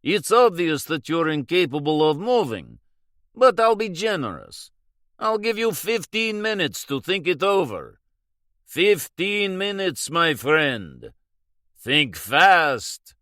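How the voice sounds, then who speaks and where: A man's voice: a little high, sing-song, slow, bright, forceful tone, dry recording, clean studio sound, pitched above the performer's own voice, full character voice. A man voicing a patronizing Russian villain who speaks with mock politeness. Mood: smugly menacing. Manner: mockingly polite, coaxing.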